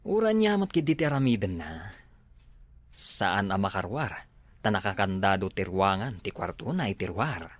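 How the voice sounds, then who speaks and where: A man's voice: sits low, big pitch swings, normal speed, no echo. A man playing a suave accomplice to a scheming woman in a radio drama. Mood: smooth, conspiring. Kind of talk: Ilocano radio drama acting.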